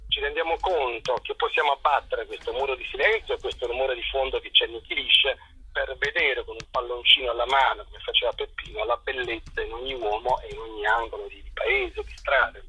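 A man with a husky voice, speaking as a journalist in a radio interview, with insistent, rhetorical, halting phrasing. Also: medium pitch, pushed and bright. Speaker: journalist and stage narrator, interview guest. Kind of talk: impassioned phone interview about a stage show. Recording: dull, lo-fi telephone line.

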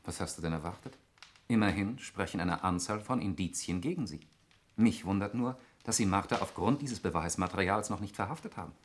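An actor playing an irritable, suspicious lawyer in a 1960s German radio murder mystery, speaking in a precise, argumentative way. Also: male voice, low-pitched, a little fast, lightly acted. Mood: dry, certain. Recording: noisy 1960s radio recording with clicks.

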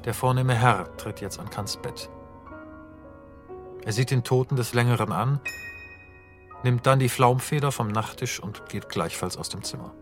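A male narrator reads scene directions for a radio drama. His delivery is measured and sober, in a mid-pitched voice, with faint music behind him.

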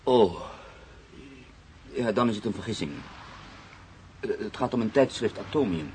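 A man playing a proud, brooding newspaper writer in a radio drama, with measured, literary delivery. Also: lowish voice, expressive, a little mellow. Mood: awkward, polite. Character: proud, brooding male newspaper writer, sceptical and easily unsettled. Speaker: actor. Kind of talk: radio drama acting, monologue and narration. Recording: radio play with clear background noise.

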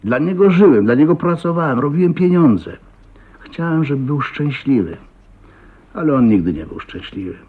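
Garrulous husky old father, swooping pitch. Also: expressive, normal speed. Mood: wistful, reminiscing. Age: older. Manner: garrulous, folksy, blunt, with chuckles and exclamations. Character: talkative, blunt, jovial old self-made millionaire father. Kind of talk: radio drama acting. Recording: muffled thin narrow-band radio recording.

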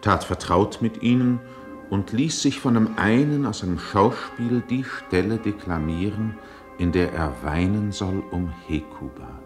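An actor as the solemn narrator of a radio drama, telling the story in a measured, literary way. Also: male voice, fairly low pitch, music in the background, lightly acted.

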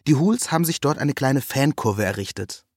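A man with a mid-pitched voice reads a first-person literary narrative. His delivery is measured and ironic, and the recording is dry, with very little room sound. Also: lively intonation, darkish tone, dry recording.

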